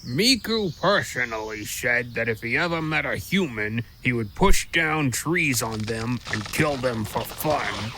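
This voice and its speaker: A man's voice: mid-range voice, lively intonation, measured pace, hardly any pauses, background noise, lightly acted. A man voicing a boastful, grumpy giant talking squirrel in an audio drama, with a blustering storyteller's manner. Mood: boastful, storytelling. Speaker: voice actor. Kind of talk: audio drama character acting.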